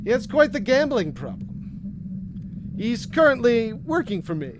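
In this noir tabletop tale, a sly rich casino owner, played by a man speaks in a light, high voice. He talks slowly and courteously. A quiet menace sits under every word. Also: background noise, heavily acted. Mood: smooth. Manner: polite, calm, quietly menacing.

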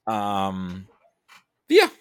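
A man talking casually about films as a podcast guest, thinking aloud in a halting, rambling way. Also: deep voice, wildly swooping pitch, a bit bright, smooth, no room sound, clean studio sound. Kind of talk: casual film discussion.

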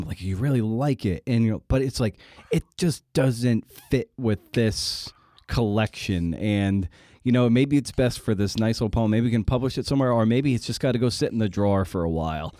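A man's voice: on the low side, normal speed, warm tone, no echo, clean studio sound. A man hosting a podcast interview in a casual, informal and colloquial way.

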